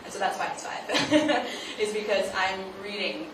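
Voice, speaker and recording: A woman speaking as the guest author at a live question-and-answer event, thinking aloud in a halting way with plenty of hedges. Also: mid-range voice, expressive. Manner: thoughtful, halting, hedging with frequent "like". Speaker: guest author at a book talk. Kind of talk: unscripted audience question-and-answer talk. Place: live event venue, picked up from afar. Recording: distant, very echoey, noisy live event recording.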